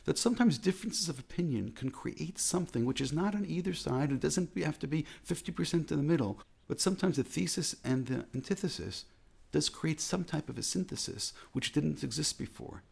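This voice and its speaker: An American man speaks as a rabbi in a measured, earnest reflective talk. His voice is heavily gravelly with a husky edge. He leans on repeated phrases to drive his points home. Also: on the high side, lively intonation, darkish tone. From United States.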